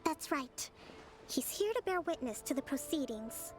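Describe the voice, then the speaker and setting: A woman voicing a poised, gentle young priestess and strategist in a video game, speaking in a measured, polite way. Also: high-pitched, expressive. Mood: diplomatic, firm. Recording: game music behind the voice.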